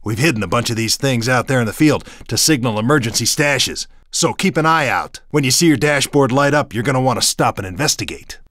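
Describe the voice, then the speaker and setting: A male actor plays an earnest resistance fighter in a video game. He explains briskly in a folksy, animated voice with wide swings in pitch. The sound is dry and close. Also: dry recording, close to an everyday voice. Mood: helpful, businesslike.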